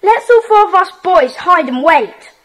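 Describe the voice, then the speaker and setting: A decisive schoolboy who leads his little club. He speaks very high and with lively swings of pitch, giving orders in the manner of a children's radio adventure. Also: a little slow, exaggerated voice. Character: Peter, decisive schoolboy leader of secret club. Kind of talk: children's audiobook dramatisation.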